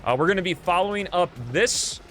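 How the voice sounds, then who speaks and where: A man's voice: sits high, brisk, fairly bright tone. Man doing casual game stream commentary over music. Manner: informal, rambling, thinking aloud. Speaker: livestream host. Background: video game music.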